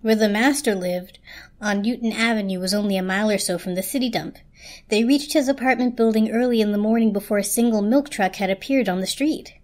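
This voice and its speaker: A woman's voice: medium pitch, a little fast, a little mellow. A woman narrating a children's story as a dramatic reading.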